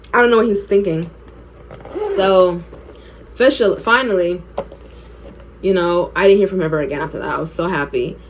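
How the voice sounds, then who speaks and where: A woman's voice: on the low side, a little mellow, slight background noise, rough recording. A woman in her twenties telling a personal story while doing her makeup, chatty and candid, laughing often. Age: 20s. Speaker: beauty vlogger.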